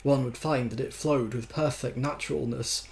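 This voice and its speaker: A man's voice: mid-range voice, fairly even intonation, moderate pace, creaky, natural voice. A man voicing an earnest, pedantic young man who insists pompously, in a storybook reading. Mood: earnest, insistent. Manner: earnest, emphatic, pompous phrasing.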